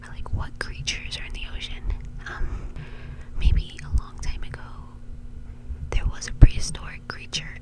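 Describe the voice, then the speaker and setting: Woman, whispered voice, breathy, ASMR storytelling, informal phrasing, conversational host. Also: moderate pace.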